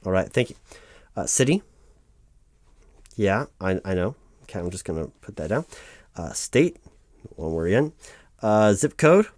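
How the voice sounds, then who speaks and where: A man playing a patient, officious human resources officer, haltingly reading out form fields in a one-sided ASMR roleplay. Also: deep voice, very animated, darkish tone, no room sound. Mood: businesslike. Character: officious, patient male HR officer doing paperwork. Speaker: human resources interviewer filling out forms.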